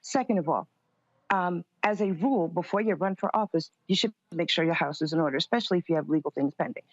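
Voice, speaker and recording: A woman's voice: fairly low pitch, fast talker, no echo. A woman speaking as a political interview guest, firm, assertive and guarded in her answers. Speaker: political guest, conservative commentator. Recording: slightly dull, dry lo-fi audio.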